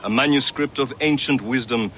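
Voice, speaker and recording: A man plays a grave assassin leader in a video game. His mid-pitched voice is forceful and formal, carrying authority over faint noise. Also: moderate pace, natural voice. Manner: formal, commanding. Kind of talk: video game cutscene acting.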